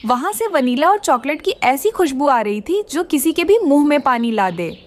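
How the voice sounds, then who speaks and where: A woman narrating a children's cartoon story with expressive storytelling. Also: high voice, moderate pace.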